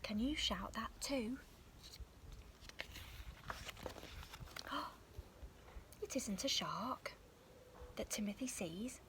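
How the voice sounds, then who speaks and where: A woman reading a children's picture book aloud outdoors in a park, in a soft, breathy storytelling voice with gasps and sudden shouts. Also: on the high side, animated, measured pace, slight background noise. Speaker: storybook reader.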